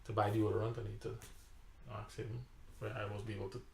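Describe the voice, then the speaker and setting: A man's voice: lowish voice, little pitch movement, fast talker, gravelly, a little hiss or hum. A pastor with a Trinidadian accent telling a personal testimony in conversation, emphatic and storytelling, sometimes repeating his words. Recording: roomy echo.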